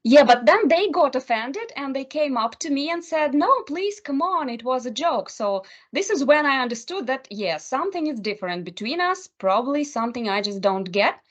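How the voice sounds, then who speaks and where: A woman co-hosting an online English speaking club, relaxed and conversational as she reads out questions and answers them. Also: high-pitched, moderate pace, few pauses. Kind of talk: casual online English lesson chat. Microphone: video call. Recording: lo-fi video call audio.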